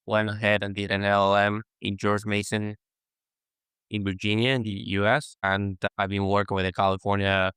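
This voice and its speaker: A young adult man from Argentina, talking relaxed as a guest lawyer in an interview. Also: fairly low pitch, moderate pace, smooth, dry recording, studio quality. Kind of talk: casual interview talk.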